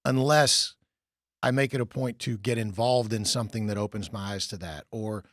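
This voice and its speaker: A man's voice: on the low side, steady tone, moderate pace, fairly bright tone, dry recording, studio quality. A man hosting a podcast, reading a scripted intro and then asking questions in a loose, rambling way. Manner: rambling, many restarts and fillers when asking questions. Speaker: podcast host. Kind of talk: scripted intro narration and casual interview.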